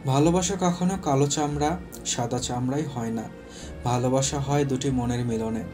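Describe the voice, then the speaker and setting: A man reads a scripted love story as its narrator. His pitch sits in the middle range for a male voice, and music plays clearly behind him. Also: moderate pace.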